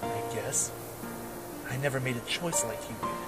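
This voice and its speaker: A man acts out a world-weary werewolf knight who has become a drifter. He broods and doubts himself in a middle-pitched voice. It is fantasy audio drama, with music plainly underneath. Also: normal speed, lightly acted. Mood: dejected.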